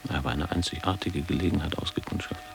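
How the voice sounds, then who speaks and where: A very deep-voiced middle-aged man recalls his past in a radio play. His delivery is measured and literary, with a creaky, gravelly grain. Music sits clearly behind his reflective narration. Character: reflective ageing former pupil recalling postwar boarding school. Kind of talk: scripted radio drama narration.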